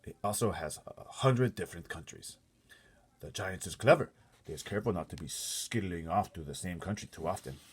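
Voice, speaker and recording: A man voicing a big, gentle, earnest storybook giant who speaks emphatically in muddled grammar and made-up words. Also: low-pitched, moderate pace, breathy edge. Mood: eager, explaining. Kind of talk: storybook character voice.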